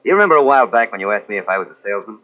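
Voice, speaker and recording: Smooth crook, confiding and glib, lowish voice with lively swings, old radio drama sound. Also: male voice, racing. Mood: breezy, confiding. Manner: breezy, ingratiating, confiding. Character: smooth-talking male crook posing as a government agent. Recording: tinny old radio recording from cassette.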